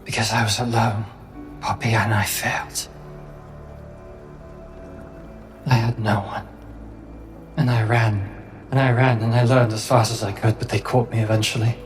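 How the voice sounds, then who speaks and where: Man playing a grave, low-voiced fox spirit. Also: flat delivery, moderate pace, close to an everyday voice. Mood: sorrowful. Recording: music behind the voice.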